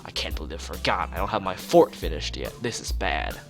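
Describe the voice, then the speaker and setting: A man's voice: sits low, animated, brisk, creaky. Man putting on a paranoid, agitated student recluse. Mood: despairing. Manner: agitated, dramatic complaining. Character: paranoid, alarmist young male student recluse. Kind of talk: visual novel character voice. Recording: music playing behind the voice.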